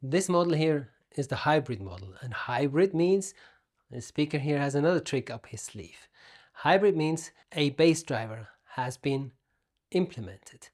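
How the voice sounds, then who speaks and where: A man giving an explanatory product review as an audio gear reviewer, phrasing things deliberately and emphatically with pauses. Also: fairly high pitch, lively intonation, a little mellow. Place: room at home.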